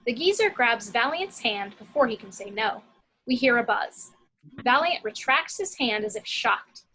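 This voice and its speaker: A woman's voice: on the high side, expressive, normal speed, sharp tone, slight background noise, low-quality recording. A woman reading scripted action descriptions as narrator in an amateur screenplay table read.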